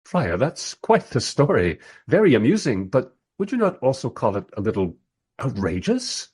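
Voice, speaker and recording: Guy acting dry self-deprecating narrator in a radio play, raspy, pitch moves around a lot, bemused. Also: wildly swooping pitch, moderate pace, darkish tone. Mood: questioning. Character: wry, bemused male documentary interviewer narrating his journey.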